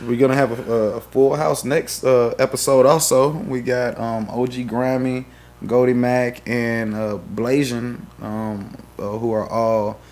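A man's voice: mid-range voice, level tone, unhurried, a little mellow. A man co-hosting a casual podcast, brash and hyped, swearing freely. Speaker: podcast co-host. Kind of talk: casual banter.

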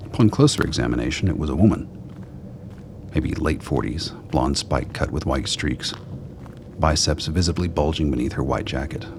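A man's voice: extremely low pitch, normal speed, noisy background. A man giving scripted narration for a radio drama.

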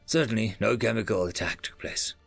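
A man's voice: on the low side, fairly quick, gravelly, dry recording, faint music behind. A man voicing an anxious, exasperated male technician, earnest and pleading, in an audiobook. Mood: defensive. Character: anxious, exasperated male technician defending himself, chain-smoking. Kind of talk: audiobook character dialogue.

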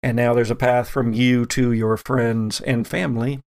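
A man running a tabletop horror game as game master, narrating in dramatic, halting phrases. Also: mid-range voice, little pitch movement, a little mellow.

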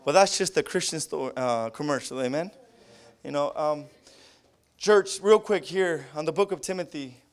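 A man with a Spanish accent preaching a sermon, tacking an amen onto nearly every phrase and rising into loud fervent bursts. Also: on the high side, normal speed, smooth. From Southern California. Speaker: pastor.

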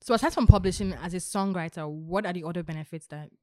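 A woman's voice: lowish voice, fast, dark tone, no echo, clean studio sound. A woman hosting a casual chat, asking probing questions. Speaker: podcast host.

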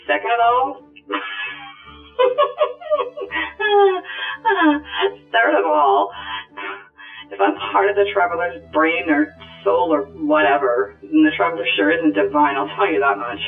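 A man's voice: extremely high pitch, wildly swooping pitch, slow, pauses often, exaggerated voice. A man voicing an irreverent, blunt little robotic ghost companion with a flippant manner and a radio-like filter, in an audio drama. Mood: mocking. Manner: sarcastic, flippant. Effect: thin radio-like filter. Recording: thin phone-like filtered sound, distant, music.

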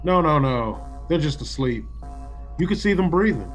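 A man playing a stern, practical colonial executive in a classic radio play, speaking with measured authority. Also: fairly high pitch, lively intonation, soft background music, lightly acted. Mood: matter-of-fact.

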